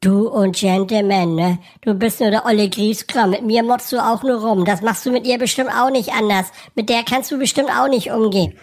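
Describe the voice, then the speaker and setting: A woman's voice: fairly high pitch, fairly even intonation, moderate pace, few pauses, a little mellow, close to an everyday voice. A woman voicing a cheeky, boastful six-year-old boy in a comedy sketch, who talks in childish, mangled grammar. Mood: mocking.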